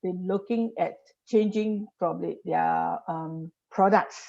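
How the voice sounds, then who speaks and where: A woman in her forties talks through a podcast interview, laying out example after example and restarting her sentences as she goes. Her fairly low voice has a gravelly edge and a mellow, dark tone. The audio is dull and lo-fi. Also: fairly even intonation, takes it slowly. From Singapore. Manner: explanatory, stacks examples, frequent restarts. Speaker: industry guest.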